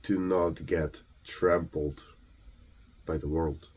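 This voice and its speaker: A man from Ukraine delivers an earnest, emphatic motivational monologue, halting between phrases and posing rhetorical questions. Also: extremely low pitch, fairly even intonation, darkish tone, breathy edge. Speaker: motivational speaker.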